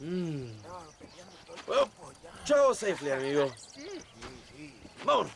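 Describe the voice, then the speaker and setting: Game casting: vain, womanizing Mexican rebel leader, high animated voice, theatrical. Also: a little slow, vocal fry, crowd noise, heavily acted. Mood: polite farewell. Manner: pompous, theatrical. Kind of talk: video game cutscene acting.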